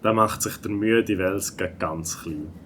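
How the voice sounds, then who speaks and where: A man's voice: on the low side, slow pace, a bit bright, a little hiss or hum. A man with a Swiss German accent narrating a scripted children's audio story.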